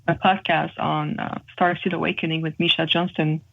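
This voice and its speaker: A woman from Montreal with a French Canadian accent, speaking earnestly as an interview guest, with measured pauses and fillers. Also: deep voice, level tone, creaky, a little hiss or hum. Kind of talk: interview guest describing spiritual experiences. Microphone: phone call. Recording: tinny phone line.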